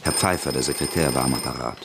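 A man narrating a radio drama in a measured, even way. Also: a bass voice, creaky, noisy background. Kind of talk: scripted narration.

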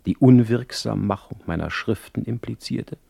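A witty poet narrating his letter in a radio play. His voice is very deep, recorded dry without room sound. The delivery is eloquent, deliberate and wryly ironic. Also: creaky, warm tone, slightly rough, no room sound, full character voice. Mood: confiding, ironic.

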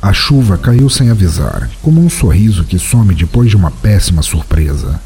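A man's voice: low voice, very animated, normal speed, dark tone, soft background music. A man playing a cynical, world-weary poet of twenty-nine, narrating a brooding, sardonic first-person audiodrama.

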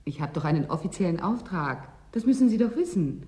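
A woman's voice: fairly low pitch, big pitch swings, brisk, dark tone, echoey room. An actress in a German radio play playing a flustered, insistent woman on an official errand, effusive and self-important.

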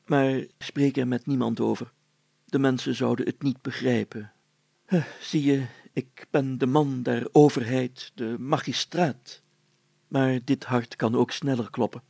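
A man's voice: medium pitch, fairly even intonation, a little slow, vocal fry, a little mellow, no room sound, pitched above the performer's own voice. A man voicing a pompous, proud village mayor in solemn, declamatory and grandly formal storybook dialogue. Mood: confiding.

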